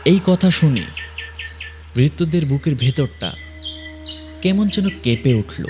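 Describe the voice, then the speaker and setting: A man narrating a scripted ghost story in a suspenseful, measured way with dramatic pauses. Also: fairly high pitch, expressive, background noise.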